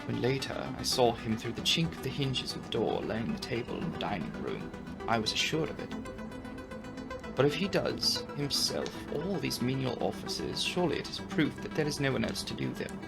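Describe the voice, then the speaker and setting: A man giving a dramatic audiobook reading of a classic novel, with expressive, deliberate, theatrical phrasing. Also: mid-range voice, creaky, over loud music. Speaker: audiobook narrator and channel host.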